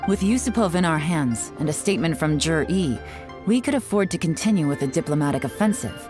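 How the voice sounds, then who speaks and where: Sly confident spy lady from a game, low-ish voice, teasing and composed, music behind. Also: lively intonation, brisk. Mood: cool, calculating. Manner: poised, playful, knowing. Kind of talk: video game voice acting.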